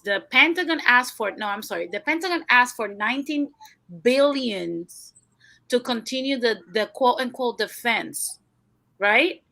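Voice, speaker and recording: A woman's voice: on the high side, pushed and bright. A woman with a Latin American Spanish accent hosting a casual political discussion. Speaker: talk show host.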